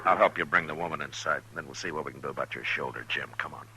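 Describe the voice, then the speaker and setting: An American actor playing a weary, steady frontier marshal in a 1950s radio Western. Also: male voice, extremely low pitch, racing, very noisy. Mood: steady, grave. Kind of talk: 1950s radio acting with first-person narration. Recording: 1950s radio recording with music.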